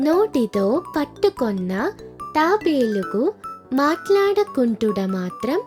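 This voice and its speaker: A woman narrating a folk tale for a children's cartoon in a slow, singsong storytelling manner. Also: high voice, big pitch swings, over music.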